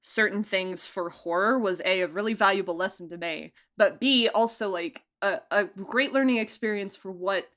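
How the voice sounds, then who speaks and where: Young adult woman, chatty and giggly, casual interview guest, mid-pitched. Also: normal speed, hardly any pauses, a bit bright. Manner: chatty, laughs often, lots of fillers and restarts. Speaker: producer and director being interviewed.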